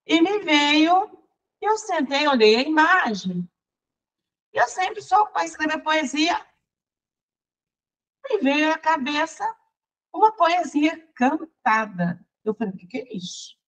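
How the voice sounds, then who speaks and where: A Brazilian woman, a songwriter and teacher, recounting her life in a chatty, lively interview. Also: sits high, slow, long pauses, rough recording. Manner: lively, chatty, restarts and laughs while recounting. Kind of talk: personal storytelling in conversation.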